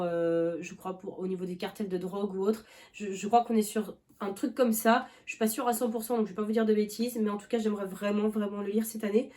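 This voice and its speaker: A woman's voice: mid-range voice, warm tone. A young woman vlogging about books in a loose, rambling chat, full of fillers and restarts, with a cough now and then. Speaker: book vlogger. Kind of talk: casual chat.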